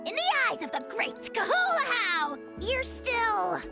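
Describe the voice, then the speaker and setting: A woman's voice: very high voice, very animated, unhurried, creaky, over music, heavily acted. A woman playing a bratty, pompous little dragon lord in a video game, grandiose and full of taunts and scorn. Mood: taunting.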